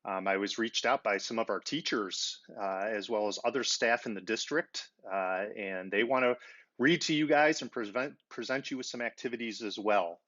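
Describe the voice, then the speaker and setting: A middle-aged man with a fairly low voice talks informally to children as a story-time host. He explains things simply and addresses pupils directly. The sound is somewhat dull and lo-fi. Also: normal speed, a bit bright. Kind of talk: informal educational talk to children.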